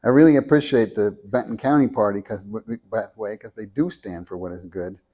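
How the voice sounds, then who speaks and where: A soft-spoken man reading a prepared talk into a handheld microphone at a meeting, measured and deliberate, with small restarts. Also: lowish voice. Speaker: speaker at a meeting. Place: meeting room with an audience.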